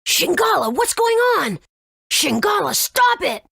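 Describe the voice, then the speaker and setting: A woman's voice: very high-pitched, sing-song, a little slow, gravelly, a bit bright, dry recording, studio quality, exaggerated voice. A woman voicing a scrappy, caring young boy in a video game, speaking in a casual, earnest way. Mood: alarmed.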